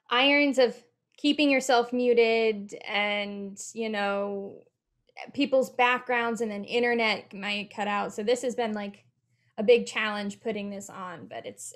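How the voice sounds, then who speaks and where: A young woman speaks casually on a video call. Her voice sits a little high, and she sounds like a panelist giving an informal opinion. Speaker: show cast or crew member. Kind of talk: casual chat. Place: indoors on a group video call.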